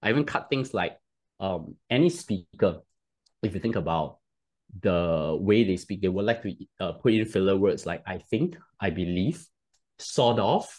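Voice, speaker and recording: A man's voice: low voice, expressive, a little slow, a little mellow. A man in his thirties with a Singaporean accent, a podcast guest explaining at length on a video call with a headset, often restarting and adding "right?". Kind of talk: casual interview talk. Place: home room, video call.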